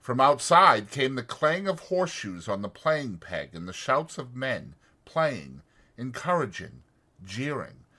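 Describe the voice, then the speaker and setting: A man's voice: medium pitch, sing-song, unhurried, bright, forceful tone. A man reading a story as a dramatic audiobook narrator.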